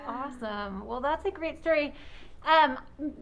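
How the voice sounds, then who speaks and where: Female children's host, high voice, encouraging, enthusiastic interviewer. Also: a little slow, fairly bright tone, lo-fi sound. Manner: encouraging, addresses children as friends.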